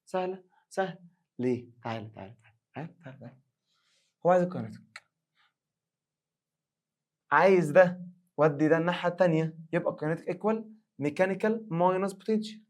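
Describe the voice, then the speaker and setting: A male science teacher with an Egyptian Arabic accent, hoarse, giving an animated exam revision lesson and acting out students' questions. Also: fairly high pitch, quick pace, pauses often.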